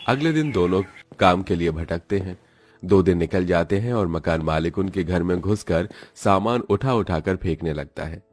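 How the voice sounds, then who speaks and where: A man's voice: deep voice, normal speed. A man reading scripted, dramatic narration as a storyteller.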